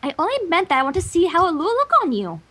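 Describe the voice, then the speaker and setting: A woman's voice: very high voice, big pitch swings, quick pace, fairly bright tone, voice pushed up, heavily acted. A woman voicing a prim, insistent female fashionista pony with shiny cheer, in a cartoon story reading. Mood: coaxing.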